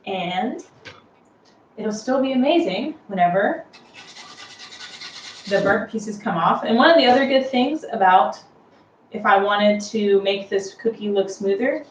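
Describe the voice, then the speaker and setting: Casting: woman, home baking host, slow and chatty explainer, recorded at a distance from the mic. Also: mid-range voice, expressive, lo-fi sound. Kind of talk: casual unscripted how-to demonstration. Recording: roomy, distant mic with clear background noise.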